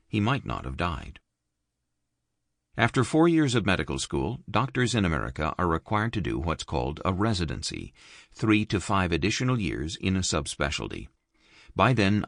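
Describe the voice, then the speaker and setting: A man reading an audiobook in a measured, expressive studio narration. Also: no echo. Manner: measured, expressive reading with wide pitch movement. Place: dry recording booth. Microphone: close studio mic.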